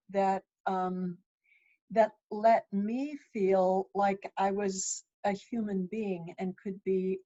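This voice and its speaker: A woman in her sixties who grew up in Ethiopia, answering interview questions thoughtfully with frequent pauses and restarts. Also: mid-range voice, dark tone. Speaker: author and interviewee. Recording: dull lo-fi sound.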